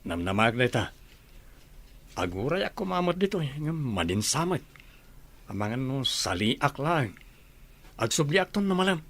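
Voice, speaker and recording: A man plays an impatient accomplice in an audio drama. His mid-pitched voice is husky and moves around a lot in pitch. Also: sing-song, moderate pace, pauses often, fairly bright tone. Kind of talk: Filipino audio drama acting.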